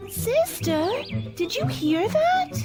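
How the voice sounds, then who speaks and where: A woman playing a curious, dreamy young girl in an old-time radio play, with wide-eyed, drawn-out delivery. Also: very high-pitched, very animated, loud music behind the voice, heavily acted. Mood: excited wonder.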